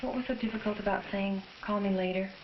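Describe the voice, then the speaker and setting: A woman's voice: medium pitch, steady tone, fast. A woman, a mother in family conversation, recorded for a documentary-style film. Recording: muffled, noisy old educational film soundtrack.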